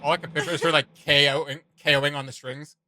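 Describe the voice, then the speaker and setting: A man hosting a reaction video, chatting casually in an animated, self-deprecating way. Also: on the high side, quick pace.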